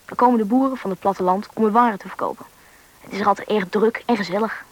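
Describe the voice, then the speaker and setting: A young performer playing a lively thirteen-year-old Russian boy who narrates and acts in a radio drama, with a slightly husky boyish voice. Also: medium pitch, quick pace, lightly acted, low-quality recording. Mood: reflective storytelling. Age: young teen. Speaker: main character and narrator of a radio play. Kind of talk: radio drama storytelling and dialogue.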